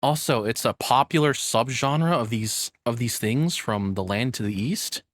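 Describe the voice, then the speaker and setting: A man's voice: medium pitch, measured pace. A man giving casual, playful, tongue-in-cheek live commentary as a streamer reacting to a video.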